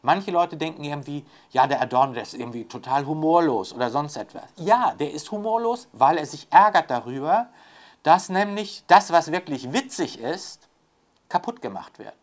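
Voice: medium pitch, animated, moderate pace. An interviewee talking in a loose, rambling way, full of fillers and tag questions. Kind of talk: discursive spoken commentary.

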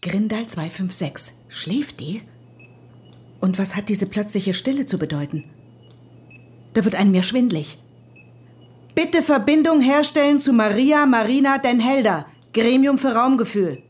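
Husky, agitated woman. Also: a little high, animated, a little slow, a little mellow. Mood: irritated, uneasy. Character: agitated, bewildered woman of an underground future world. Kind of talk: radio play acting.

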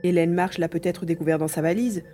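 A woman's voice: lowish voice, steady tone, fairly quick, mellow, soft background music, close to an everyday voice. A woman playing an adventure heroine who questions her partner during a search in an audio drama. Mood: questioning, concerned. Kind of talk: French audio drama acting.

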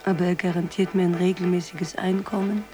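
A woman acting in a German radio drama as a discreet, unsentimental call girl, speaking in a deadpan, breathy half-whisper. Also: fairly low pitch, brisk, music in the background, natural voice. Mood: detached, confiding. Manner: deadpan, laconic.

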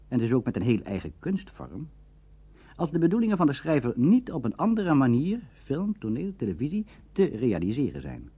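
A man presenting a scripted lecture in a formal, didactic and rhetorical manner, with a husky voice. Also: mid-range voice, a little mellow. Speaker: presenter of a radio drama lecture. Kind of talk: scripted explanatory lecture narration. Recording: dull lo-fi old radio recording.

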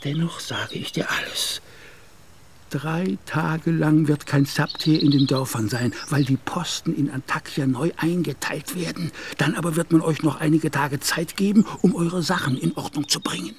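An actor playing an anxious, middle-aged Turkish gendarme officer who pleads and often whispers, in a radio play. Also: male voice, a little high, moderate pace, close to an everyday voice. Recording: radio play with clear background noise.

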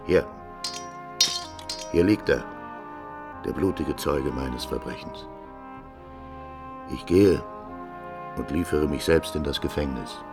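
A proud, tormented father in an old radio tragedy. His voice is deep and his delivery slow, grave and declamatory. Brass music sounds behind him. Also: exaggerated voice. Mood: bitter defiance. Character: stern older father, honour-bound, anguished, defiant. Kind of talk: mid-century German radio play acting, classical tragedy. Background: music with brass and horns.

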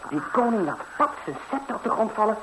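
Old radio fairy tale, faint noise: artless young hero, breezy, rambling and hesitant, with a very animated delivery. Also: male voice, high voice, quick pace. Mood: chatty storytelling. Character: naive, chatty young lad, a cheerful fairy-tale hero. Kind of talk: 1960s Dutch radio fairy-tale acting. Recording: faintly noisy 1960s radio recording.

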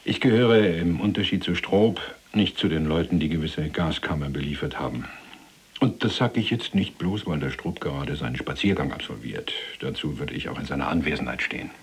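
An actor playing an imprisoned former police archivist, chatty, pedantic and evasive, with a rough, husky voice in a radio drama. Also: male voice, extremely low pitch, brisk, heavily acted. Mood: wry, defensive. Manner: folksy, hedging, placating. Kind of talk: dramatized conversation.

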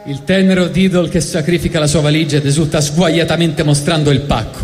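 A man's voice: on the high side, steady tone, fairly quick, a little mellow. A man with a Roman accent giving a mock-solemn, satirical live reading on stage. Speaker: storyteller reading a comic monologue on stage. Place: live stage benefit event. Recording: echoey hall, live stage, music behind.

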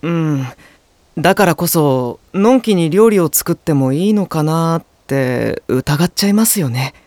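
A performer playing a polite, protective young man in an anime audio drama, calm and careful in manner. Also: medium pitch, measured pace, dry recording. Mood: hesitant, doubtful. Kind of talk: Japanese anime drama CD acting.

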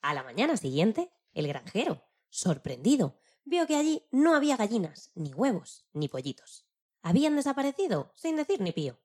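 A woman's voice: medium pitch, big pitch swings, moderate pace, a little mellow, husky. A woman narrating scripted stories expressively for a children's programme.

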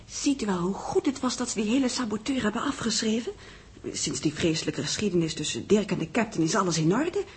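Eager, husky-voiced secretary. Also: female voice, medium pitch, animated, brisk. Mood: chatty, curious. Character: inquisitive, eager young female secretary. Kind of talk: 1960s radio drama acting.

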